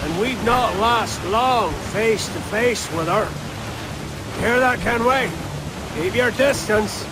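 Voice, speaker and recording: A man playing a seasoned, wary, pragmatic pirate captain with a gravelly voice in a video game cutscene. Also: high-pitched, slow. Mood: wary, measured. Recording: loud sea surf noise behind. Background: ocean waves.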